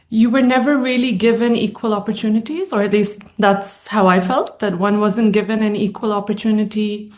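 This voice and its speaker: A woman psychologist speaking as a panel guest, thoughtful and measured, often pausing to search for the right words. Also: mid-range voice, a little mellow. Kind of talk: reflective panel conversation.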